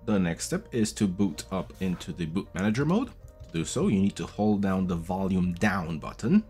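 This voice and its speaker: Deep-voiced man explaining a tech fix. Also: expressive, moderate pace, warm tone, soft background music. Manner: chatty, patient step-by-step explaining. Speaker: tech video host. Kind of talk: casual tutorial walkthrough. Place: small room at a desk. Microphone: studio mic on a boom arm, wearing headphones.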